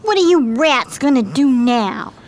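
A woman's voice: high voice, lively intonation, measured pace. A woman playing a bitter, mean, hard-drinking 1920s swindler in a radio play, snappish and slangy. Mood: furious.

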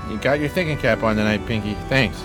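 A man's voice: fairly low pitch, fast, fairly bright tone, close to an everyday voice. An actor playing a grandiose, dreamy homeless man with florid, theatrical speech in a radio play. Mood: curious. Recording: music playing behind the voice.

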